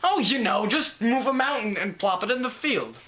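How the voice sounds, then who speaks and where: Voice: medium pitch, expressive, moderate pace, a bit bright, pitched above the performer's own voice, close to an everyday voice. A reader voicing a hardworking farm pony mare with a dry, sardonic manner.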